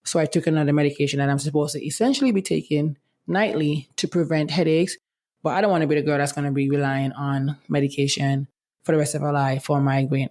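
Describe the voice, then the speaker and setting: A woman's voice: low voice, a little mellow. A young woman hosting a casual solo podcast, talking in a candid, chatty way while her voice is affected by medication. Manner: candid, chatty, often says "let me tell you". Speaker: podcast host.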